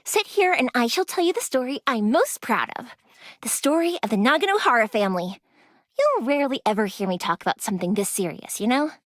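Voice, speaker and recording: A bubbly young fireworks maker, high and bright, her voice bouncing all over as she chatters. She giggles easily and softens when a story turns serious. It is a dry, close studio recording for a game. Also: sing-song, fairly quick, dry recording. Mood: wistful. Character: cheerful young woman fireworks maker, playful and warm. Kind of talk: game character voice lines. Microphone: close studio mic.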